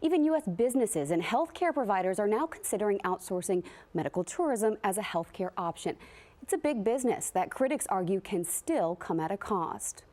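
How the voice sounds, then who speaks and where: A woman's voice: medium pitch, expressive, brisk, dark tone, no room sound. A woman with an American accent reading scripted news narration as a reporter.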